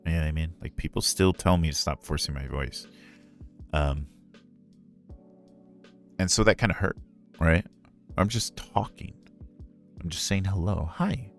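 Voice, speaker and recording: A man with a very deep natural voice telling stories casually on a livestream, candid and self-deprecating in a conversational way. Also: measured pace, pauses often, soft background music. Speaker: streamer and ASMR roleplay voice performer answering a Q&A.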